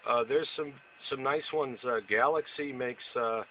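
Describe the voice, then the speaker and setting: A man's voice: mid-range voice, measured pace. A man who operates amateur radio, chatting casually in radio procedure with a hesitant, rambling manner. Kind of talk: casual radio chat with call-and-reply procedure words. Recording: dull lo-fi audio with faint noise.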